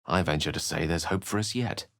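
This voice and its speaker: An actor playing a bookish, genteel wizard in a video game, articulate and measured, with a wry, theatrical way of talking. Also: male voice, rumbling low, a bit bright, dry recording, studio quality. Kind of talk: video game voice acting, narration and dialogue.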